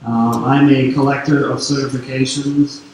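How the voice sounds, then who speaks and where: A man from Quebec whose first language is French, speaking with a French-Canadian accent as he gives a relaxed technical conference talk full of fillers. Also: medium pitch, moderate pace. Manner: relaxed, filler-heavy explaining. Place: large conference hall on stage. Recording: echoey hall, distant mic, faint noise.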